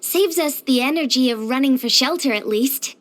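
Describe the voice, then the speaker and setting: A woman playing a cheerful, easygoing young craftswoman in a video game, with a gentle, chirpy, earnest manner. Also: high voice, moderate pace, noisy background, natural voice. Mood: calm, musing. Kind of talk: video game character voice acting.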